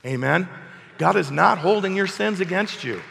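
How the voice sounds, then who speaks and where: A man preaching an emphatic sermon while hoarse from a cold, asking his congregation questions. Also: high-pitched, lively intonation, normal speed, a bit bright, big-room sound, a little hiss or hum. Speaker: pastor. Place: church hall.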